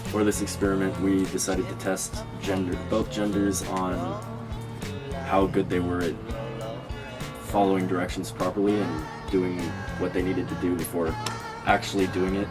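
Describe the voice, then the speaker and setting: A young man explaining a class experiment in a halting way, with pauses and fillers. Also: low voice, flat delivery, over loud music. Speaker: student presenter.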